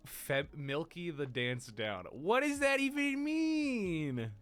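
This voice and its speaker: A young adult man hosting a casual livestream, tired and exhausted, rambling in a playful, self-mocking way, his voice deeper than usual today. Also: very animated, slow, fairly bright tone, clean tone, soft background music. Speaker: stream host.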